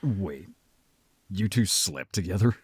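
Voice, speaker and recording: A man playing a gruff, protective, teasing werewolf brother with a husky voice and a blunt, guarded way of talking, in an audio drama roleplay. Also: low-pitched, lively intonation, slow pace, no echo, exaggerated voice. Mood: amused, teasing.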